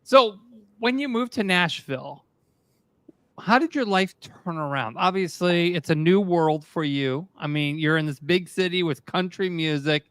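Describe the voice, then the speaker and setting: A man's voice: a little high, unhurried, fairly bright tone, clean tone, no room sound. A man hosting a casual talk show interview, loose and joking, swearing now and then.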